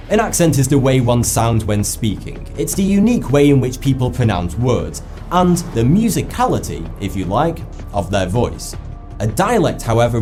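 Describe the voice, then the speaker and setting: A man with a West Lancashire accent narrates an explainer in a scripted but lively way. His pitch swings widely and he slips in wry asides. His voice sits in a mid range, with soft music underneath. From England.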